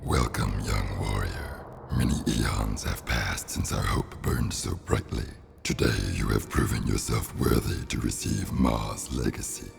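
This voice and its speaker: Extremely deep, gravelly ancient oracle statue. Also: male voice, steady tone, heavily acted. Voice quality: gravelly, booming. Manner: slow-burning, portentous, formal. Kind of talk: epic video game cutscene acting. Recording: music under the voice. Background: music.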